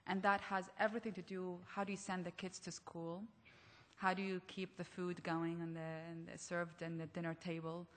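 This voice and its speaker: A woman's voice: on the low side, flat delivery, normal speed. A woman from Iraq speaking earnestly on a conference panel, asking rhetorical questions. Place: large conference hall. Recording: echoey conference hall.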